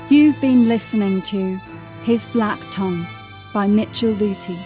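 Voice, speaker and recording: A woman narrating a horror audiobook in a dramatic, scripted style, with measured, suspenseful storytelling. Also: mid-range voice, dark tone. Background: music.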